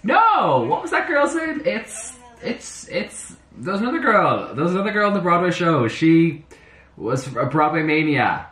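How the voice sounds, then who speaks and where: A slightly hoarse young man chatting excitably to camera in his bedroom, talking to himself as he reacts to music. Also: fairly high pitch, moderate pace. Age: young adult. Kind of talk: casual reaction chat. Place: bedroom at home, indoors. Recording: roomy bedroom with faint music. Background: soundtrack music playing faintly.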